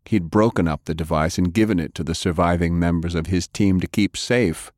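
A man narrating dramatic fiction as an audiobook reader, with a grave, deliberate delivery. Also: deep voice, darkish tone, dry recording, clean studio sound.

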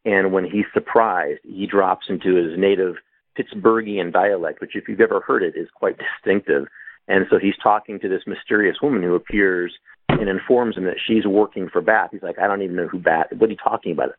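Man, deep voice, thoughtful explaining, dry close sound. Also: fairly quick, no room sound. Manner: explanatory, thoughtful, many fillers. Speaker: author guest. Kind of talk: casual panel discussion. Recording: tinny phone line.

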